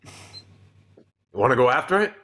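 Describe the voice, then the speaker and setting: A man's voice: mid-range voice, sing-song, slow, bright, forceful tone, heavily acted. A man playing an anxious, complaining companion on a starship, reading in a radio play. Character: grumbling, anxious male companion on a starship expedition.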